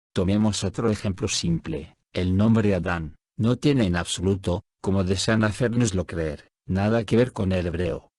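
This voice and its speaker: A man reading both the questions and the answers of an interview aloud in a measured, even voice-over. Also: sits low, no echo, studio quality. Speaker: voice-over reader of a written interview.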